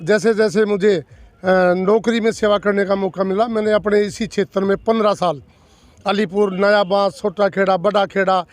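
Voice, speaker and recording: A man's voice: high-pitched, steady tone, normal speed. A man in his sixties from the villages of North Delhi, answering interview questions as an election candidate in an earnest, emphatic way, repeating and listing points. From North Delhi villages, India. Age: 60s.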